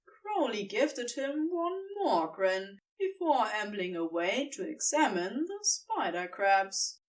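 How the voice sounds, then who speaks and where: Slow, melodic woman storyteller. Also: on the high side, distant mic, low-quality recording. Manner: expressive, tender storytelling. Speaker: narrator. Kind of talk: scripted fiction narration.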